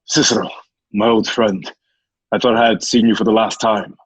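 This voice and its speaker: An actor playing a battle-hardened, resolute Roman general turned gladiator, in a radio-drama read. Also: male voice, fairly low pitch, steady tone, normal speed, husky, no echo, low-quality recording. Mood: guarded, purposeful. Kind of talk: online table-read radio drama acting.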